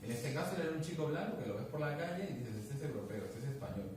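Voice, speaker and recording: A man chats and tells stories, acting out what people said and restarting his phrases often. He is heard from a distance in a very echoey room. Steady background noise sits under his voice. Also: mid-range voice, fast. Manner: animated, acts out dialogue, many restarts. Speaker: guest speaker. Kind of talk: casual conversational storytelling.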